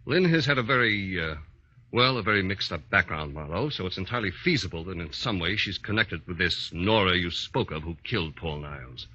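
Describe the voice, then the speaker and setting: An actor playing a self-interested, distrustful brother in a 1940s radio drama, measured, formal and insinuating. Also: male voice, low-pitched, expressive, pushed and bright, breathy edge, heavily acted. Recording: dull vintage 1940s radio recording.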